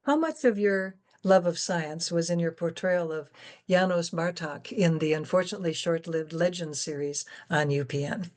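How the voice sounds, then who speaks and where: A woman's voice: lowish voice, normal speed, darkish tone. A woman moderating an interview, informal and a little hesitant as she handles the questions. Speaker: guest moderator reading audience questions.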